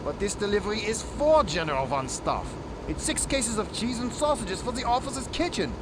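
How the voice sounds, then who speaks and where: Fed up delivery man in a war game, indignant, noisy rumble behind. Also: very animated, fairly quick. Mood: exasperated. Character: exasperated, stubborn male wartime delivery driver.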